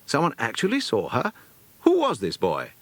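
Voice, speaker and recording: A well-spoken boy read by a man in a children's story. He is polite, earnest and anxious. The voice sits raised, with lively movement in the pitch. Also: normal speed, creaky, dry recording, exaggerated voice. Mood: concerned.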